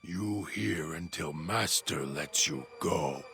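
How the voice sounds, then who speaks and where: A man voicing a deranged, hungry male dog-creature who talks of himself in halting, broken third-person speech. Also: extremely low pitch, heavily acted. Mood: eerie. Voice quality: gravelly. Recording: music bed underneath.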